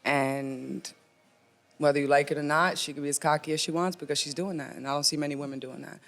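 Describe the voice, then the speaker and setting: A woman with a deep, raspy voice, chatting casually as a podcast guest in a laid-back, joking way. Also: steady tone, fairly quick. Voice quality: deep raspy voice, often taken for a man's.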